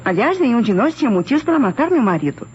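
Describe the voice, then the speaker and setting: Uneasy wife, softly mellow and animated. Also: female voice, on the high side, fast talker. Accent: Brazilian. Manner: hesitant, theatrical. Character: uneasy, defensive wife of a missing rich man. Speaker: actress in a radio mystery serial. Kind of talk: old Brazilian radio drama acting. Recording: muffled, noisy old radio recording.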